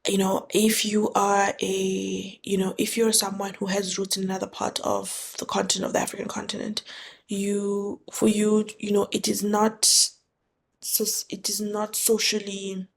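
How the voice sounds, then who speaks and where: A woman's voice: medium pitch, completely flat delivery, unhurried, gravelly, slight background noise. A young woman from Zambia hosting a solo podcast, talking reflectively with lots of fillers and restarts. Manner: thoughtful, many fillers and restarts, conversational. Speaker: podcast host.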